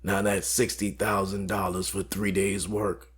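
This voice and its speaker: A man playing a very deep, husky mob fixer. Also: level tone, fairly bright tone, heavily acted. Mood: cool, controlled menace. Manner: measured, formal, coolly threatening. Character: smooth, menacing mob fixer, a man in control. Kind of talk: crime audio drama acting.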